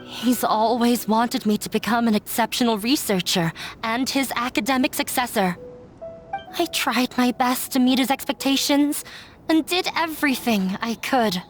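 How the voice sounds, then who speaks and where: A woman's voice: on the high side, normal speed, soft background music, close to an everyday voice. An actress playing a dejected, self-sacrificing young woman in an anime game dub, confiding in a sorrowful, resigned way. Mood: bitter, resigned. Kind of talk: English-dubbed anime game voice acting.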